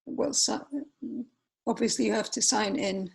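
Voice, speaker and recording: A woman in her forties, a native Finnish speaker with a Finnish accent, walks through a software tutorial while sharing her screen, often pausing with fillers and correcting herself. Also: mid-range voice, steady tone, gravelly, breathy edge. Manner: unhurried, hesitant, many fillers and self-corrections. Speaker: tutorial presenter.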